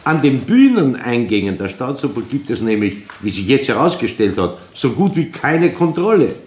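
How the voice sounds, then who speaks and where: An actor playing a courteous, officious police official with an Austrian accent in a radio drama, speaking formally and emphatically. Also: male voice, mid-range voice, sing-song, moderate pace, a little mellow, close to an everyday voice. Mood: polite, exasperated. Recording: roomy echoing hall.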